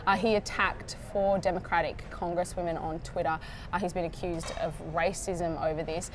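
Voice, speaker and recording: A woman's voice: on the low side, steady tone, moderate pace, slight background noise. A woman in her thirties with an Australian accent, hosting a casual political panel from a bar table and asking pointed questions. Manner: chatty, asks pointed questions. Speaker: panel host. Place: indoor bar-restaurant, seated at a small table.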